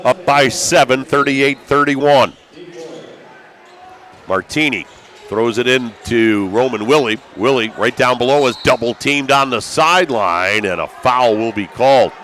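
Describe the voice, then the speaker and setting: American guy doing live hoops play-by-play, gets excitable, some gym noise behind him. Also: mid-range voice, unhurried. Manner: excitable, calls out action with raised voice. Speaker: basketball play-by-play broadcaster. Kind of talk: live basketball play-by-play commentary. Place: courtside in high school gymnasium.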